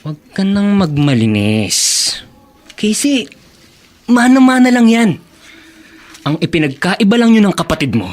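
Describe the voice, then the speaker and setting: A man's voice: sits high, sing-song, measured pace, pauses often. An actor playing an arrogant, predatory young man in a radio drama, with a taunting, insinuating manner. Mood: mocking. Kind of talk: Tagalog radio drama acting.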